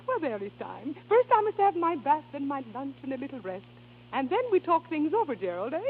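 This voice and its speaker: An actress playing a grand, spoiled European opera diva with imperious, theatrical phrasing in a 1930s radio drama. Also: female voice, sits high, sing-song, normal speed. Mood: arch, composed. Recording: scratchy, narrow 1930s radio recording with hum.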